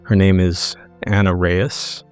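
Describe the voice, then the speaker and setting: A man narrating his character's scene in a tabletop game, measured and deliberate, phrase by phrase. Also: sits low, level tone, smooth, faint music behind. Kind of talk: slow, descriptive story narration.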